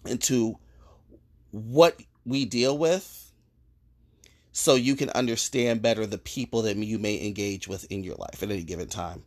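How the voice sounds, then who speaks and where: Male podcast host, measured and explanatory, mid-range voice. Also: medium pitch. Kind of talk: podcast conversation.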